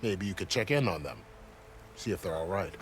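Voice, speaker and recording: A man's voice: low voice, expressive, brisk, a little hiss or hum. A man playing a friendly, dutiful gate commander in a fantasy frontier video game. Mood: helpful.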